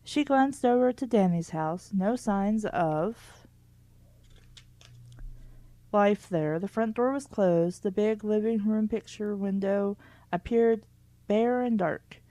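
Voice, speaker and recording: A woman reads a story aloud at a middling pitch in a dry, close recording. Her style is casual, and she breaks into wry, candid commentary as she goes. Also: slow pace, dark tone, no room sound. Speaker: host reading a children's book aloud and commenting.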